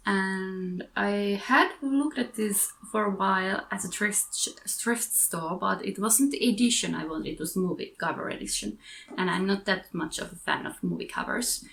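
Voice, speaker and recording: A woman's voice: medium pitch, vocal fry, a bit bright, a little hiss or hum. A woman with a Finnish accent chatting casually about her books, correcting her own words as she goes. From Finland. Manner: chatty, self-correcting, giggly asides. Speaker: book vlogger. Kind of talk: casual book haul chat.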